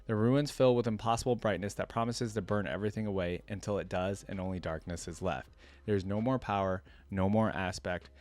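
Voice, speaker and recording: A man's voice: on the low side, a little fast, darkish tone. A man hosting a reaction video, reading a fantasy story aloud and commenting in an informal, colloquial way.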